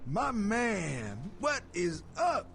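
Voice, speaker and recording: An actor playing a loud, fast-talking male street peddler in a video game, hawking odd goods with jive patter. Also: high voice, wildly swooping pitch, creaky, heavily acted. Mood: excited hawking. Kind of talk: game character voice acting, sales patter. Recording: game audio with music behind.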